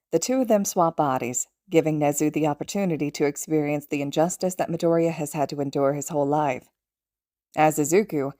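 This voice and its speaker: A woman's voice: deep voice, warm tone, no echo, clean studio sound. A woman reading a story aloud as a scripted narrator. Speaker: podfic narrator.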